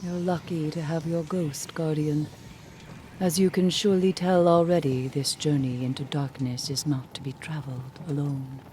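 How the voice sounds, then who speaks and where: An actress playing a solemn, brooding mystic scholar in a video game, with formal, theatrical, dryly sardonic phrasing. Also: female voice, sits low, little pitch movement, normal speed, mellow, slight background noise, close to an everyday voice. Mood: weary, sardonic. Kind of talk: video game cutscene acting.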